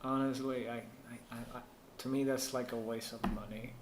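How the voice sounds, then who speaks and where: A man from Puerto Rico, a native Spanish speaker, hosts a casual tech stream in a roomy, echoey space. His medium-pitched voice rambles as he thinks aloud, with faint noise underneath. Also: level tone, normal speed. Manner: rambling, thinking aloud while reading chat. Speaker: live stream host giving PC troubleshooting advice. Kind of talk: casual live stream chat.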